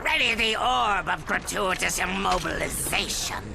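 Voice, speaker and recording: An actor playing a maniacal, egomaniac robot mad scientist villain in a video game cutscene, theatrical and gloating. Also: male voice, high voice, expressive, moderate pace, creaky, noisy background, full character voice. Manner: theatrical, gloating, swings into shrieks.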